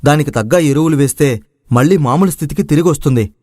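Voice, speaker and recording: A man's voice: on the high side, fast talker, dark tone, dry recording, studio quality, close to an everyday voice. A man acting an earnest, aggrieved young village son in a moral story drama. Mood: earnest, hopeful. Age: young adult.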